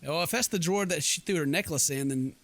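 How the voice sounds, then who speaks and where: A man's voice: on the high side, fast, fairly bright tone, a little hiss or hum. A man hosting a let's play, reading game text aloud in a playful mock-dramatic way with jokey asides. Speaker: gaming video host.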